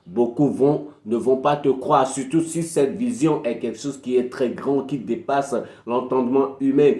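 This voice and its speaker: A man's voice: mid-range voice, mellow, echoey room. A man giving an emphatic, preachy motivational talk, repeating phrases for effect. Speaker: motivational speaker. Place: indoor room at a desk with a PC.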